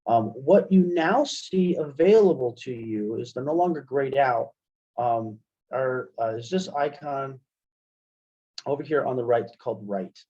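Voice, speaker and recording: A middle-aged man leads an online software tutorial with a mellow, relaxed tone at a medium pitch. He explains step by step in a halting way. The headset sound is dull and lo-fi. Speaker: webinar presenter, college media specialist. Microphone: headset microphone on video call. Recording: dull, lo-fi webinar headset audio.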